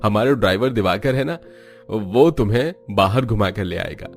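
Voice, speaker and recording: A man's voice: on the low side, lively intonation, normal speed, faint music behind. A man voicing a rich, reassuring young husband in a cartoon. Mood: easygoing.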